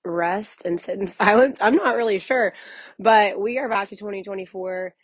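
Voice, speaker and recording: A young woman, hoarse from losing her voice, hosting a casual podcast in a chatty, candid way with many fillers. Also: medium pitch, normal speed, no room sound. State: hoarse, recovering from lost voice. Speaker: podcast host and author. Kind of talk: casual solo podcast talk.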